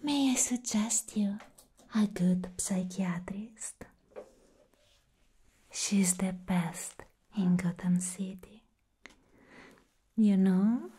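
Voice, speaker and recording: Female performer as attentive doctor with hidden madness, ASMR roleplay; whispered, soft, unhurried, coy. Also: mid-range voice, breathy edge. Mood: sly, coy. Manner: slow, soothing, coy. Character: attentive, smooth-talking woman doctor with sly madness. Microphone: close microphone.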